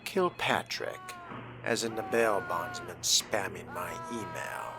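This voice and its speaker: A man's voice: on the low side, wildly swooping pitch, slow pace, over music. A man role-playing through a game in character, reading and commenting in a formal, arch, often murmured way. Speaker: game player narrating in character.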